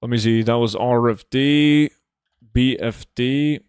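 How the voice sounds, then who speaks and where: A man's voice: mid-range voice, slow, a little mellow, clean tone, no room sound, clean studio sound. A man from Norway, chatting casually and bluntly as he livestreams a game, swearing freely. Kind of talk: casual livestream chat while gaming.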